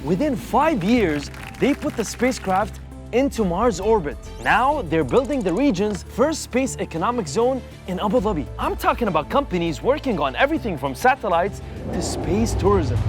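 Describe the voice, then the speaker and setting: Lively upbeat man in his thirties. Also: sits high, moderate pace. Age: 30s. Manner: upbeat, persuasive storytelling. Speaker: host. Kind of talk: scripted narration. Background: music.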